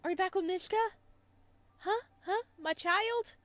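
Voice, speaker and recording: A woman hosting a visual novel let's play, playful and expressive as she chats and reads aloud. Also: very high-pitched, a little slow, no echo. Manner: playful, expressive reactions, addresses viewers. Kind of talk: visual novel playthrough, chatty commentary and read-aloud narration.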